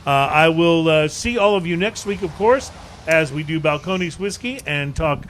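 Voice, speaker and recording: A man hosts a casual talk show and keeps things moving with friendly agreement. His voice is a touch high, and faint background ambience sits behind him. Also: animated, normal speed, fairly bright tone, a little hiss or hum. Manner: affable, frequent agreeing interjections. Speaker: podcast host. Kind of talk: casual chat. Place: bar, live show.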